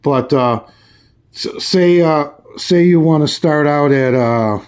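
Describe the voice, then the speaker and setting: A man giving a casual, hands-on review in a halting, folksy way, often restarting his phrases. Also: fairly high pitch, a little mellow, clean tone. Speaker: radio reviewer. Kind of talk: casual hands-on product demonstration.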